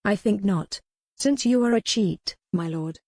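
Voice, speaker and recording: Young highborn lady for historical romance, read by a female narrator: proud, quick-witted, courtly, in a dry studio sound. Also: dark tone, breathy edge, no echo. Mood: spirited, defiant. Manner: formal, courtly, spirited. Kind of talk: audiobook character dialogue in historical romance.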